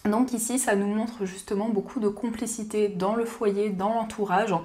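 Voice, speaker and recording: A woman giving a gentle, soothing daily card reading, slightly hoarse from a cold. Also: mid-range voice, steady tone, moderate pace. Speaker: card reader.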